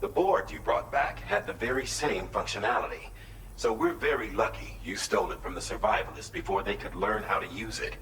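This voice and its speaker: A man's voice: on the low side, brisk, a bit bright, reverberant, a little hiss or hum, lightly acted, poor audio. A man playing a formal, precise strategist. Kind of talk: video game cutscene dialogue.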